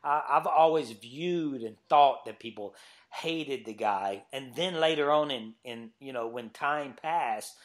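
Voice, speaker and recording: A man's voice: mid-range voice, unhurried. Easygoing man hosting a podcast. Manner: easygoing, laughs often, pushes back. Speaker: podcast host. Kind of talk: casual podcast chat.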